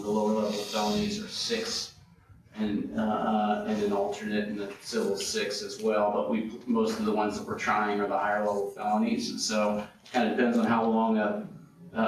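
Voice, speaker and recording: A man presenting a county budget report to a council, speaking haltingly with filler words. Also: lowish voice, slight background noise. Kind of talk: reporting at a public meeting. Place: county council meeting room. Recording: echoey room, distant mic.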